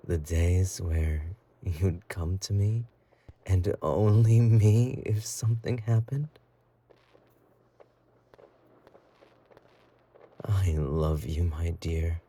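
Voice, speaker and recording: Guy acting as creepy sweet yandere boyfriend, low breathy voice, talks super slow, possessive and cooing, roleplay audio. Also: dark tone, heavily acted. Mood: devoted, obsessive. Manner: slow, intimate, cooing with giggly menace. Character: obsessive, possessive yandere boyfriend, doting yet menacing. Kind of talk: romantic roleplay audio drama.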